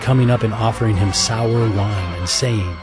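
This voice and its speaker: Low-voiced male scripture narrator. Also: moderate pace. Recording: prominent music bed.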